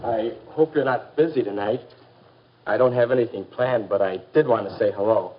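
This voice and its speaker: A man's voice: lowish voice, moderate pace, a little hiss or hum, natural voice. An American man acting as an earnest, polite, mild-mannered teenage son in a 1950s educational film. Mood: friendly. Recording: dull, muffled 1950s film soundtrack.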